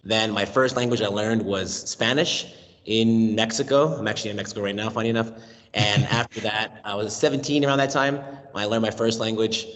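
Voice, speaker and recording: An American man in his thirties, a language teacher talking as an interview guest, lively and given to acting out voices in his stories. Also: fairly low pitch, a little fast, in a large hall. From United States. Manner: animated, acts out voices and accents in his stories. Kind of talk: casual interview talk. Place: remote call from Mexico.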